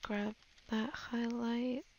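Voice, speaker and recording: A woman's voice: fairly high pitch, flat delivery, extremely slow, darkish tone, dull audio. A woman giving a casual voice-over tutorial on her art, thinking aloud in a musing, hesitant way as if half talking to herself. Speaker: digital artist giving a tutorial.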